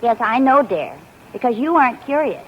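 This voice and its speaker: A woman's voice: on the high side, expressive, moderate pace, close to an everyday voice. Woman as a wry, clever wife. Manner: dry, wry, patient. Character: clever wife playing a trick on her husband. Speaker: sitcom lead. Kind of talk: 1940s radio sitcom acting. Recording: muffled 1940s radio broadcast with hiss.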